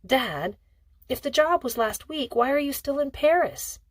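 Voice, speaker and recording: A woman's voice: high voice, animated, unhurried, dry recording, studio quality, pitched above the performer's own voice. A woman voicing an earnest teenage schoolgirl in an audiobook, questioning her father in a wary, probing way. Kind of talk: audiobook character dialogue.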